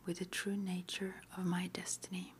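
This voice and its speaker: A woman whispering in a breathy, mostly unvoiced ASMR style, reading out short affirmations slowly and deliberately with pauses. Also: on the low side, monotone, slight background noise. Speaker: ASMR roleplay host.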